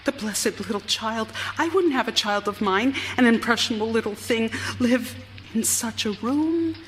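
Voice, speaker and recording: An actress playing a fretful, confined wife sinking into obsessive madness in a live theatrical radio drama, confiding in a hushed way and breaking into agitation. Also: female voice, a little high, normal speed, slight background noise, lightly acted. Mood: wistful, brooding. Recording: live stage recording with music underneath. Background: music.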